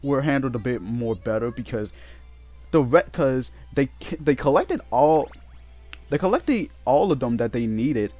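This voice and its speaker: A man hosts a casual let's play in a mid-pitched voice. His commentary is unscripted and halting, with phrases repeated and reworked as he goes. Game music plays behind him. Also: lively intonation, mellow. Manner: halting, repetitive, self-correcting.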